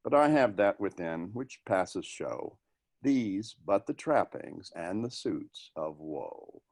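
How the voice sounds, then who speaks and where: A man playing a bitter, grieving young prince, reading Shakespearean verse in a somber, deliberate manner. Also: on the low side, expressive, a little mellow, exaggerated voice. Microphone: video call.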